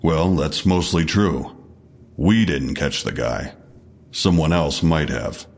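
An unflappable male detective, with an extremely deep voice. He speaks in a measured, official way, probing steadily as in an audio drama interrogation. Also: animated, vocal fry, slightly rough, exaggerated voice. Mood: grave, insinuating.

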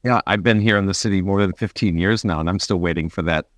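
A man's voice: sits low, fast, a bit bright, no room sound, studio quality. A man conducting a conversational interview, asking questions and chiming in with casual remarks.

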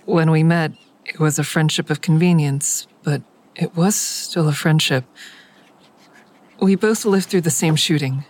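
A woman's voice: sits low, unhurried, a little mellow. An actress playing an anxious, conflicted woman in an audio drama, speaking plainly and with some hesitation. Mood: uneasy.

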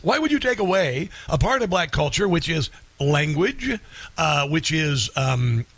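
A man's voice: on the high side, sing-song, a little slow, fairly bright tone. A male radio talk show host delivering an indignant, scoffing opinion monologue into a studio microphone.

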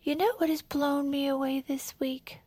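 An actress playing a sentimental, gushing true crime podcast co-host, earnest and effusive, in scripted audio fiction styled as chat. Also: female voice, sits high, flat delivery, moderate pace, dark tone, no echo, lightly acted. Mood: tearful gratitude.

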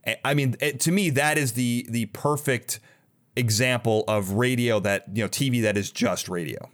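A man's voice: mid-range voice, normal speed. A man hosting a podcast, talking in a casual, enthusiastic and chatty interview style. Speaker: podcast host interviewing a guest.

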